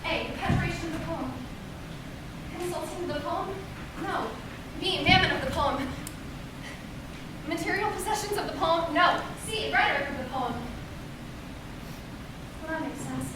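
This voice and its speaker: A woman's voice: very high-pitched, normal speed, pauses often. A woman playing an anxious student on stage, reasoning aloud to herself. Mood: deliberating. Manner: deliberating, talking to herself. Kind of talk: amateur stage play acting. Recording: echoey distant stage, noisy.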